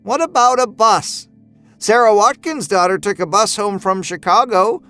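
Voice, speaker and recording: A man's voice: high voice, moderate pace, sharp tone, smooth, soft background music, pitched above the performer's own voice. A man voicing an anxious, grieving older mother in a horror audio story, pleading and fretful. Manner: pleading, fretful, self-effacing.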